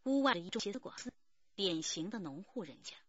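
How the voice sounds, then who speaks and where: Radio crime narrator, measured storytelling, lively intonation, close dry sound, slightly dull. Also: female voice, medium pitch, husky, dry recording. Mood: composed. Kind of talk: scripted radio drama narration.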